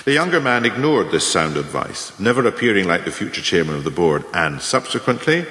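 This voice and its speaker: An actor playing a scheming, pompous senior manager, delivering a deliberate, oratorical radio play monologue. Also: male voice, low-pitched, big pitch swings, sharp tone, heavily acted. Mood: smugly instructive. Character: scheming pompous senior manager lecturing on ruthless career advancement. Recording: echoey hall with long reverb.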